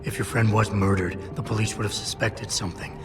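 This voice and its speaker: A man's voice: fairly low pitch, fairly even intonation, a little fast, close to an everyday voice. An actor playing a hardened, terse male security agent in a video game cutscene. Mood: steady. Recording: distant voice in reverb over loud music.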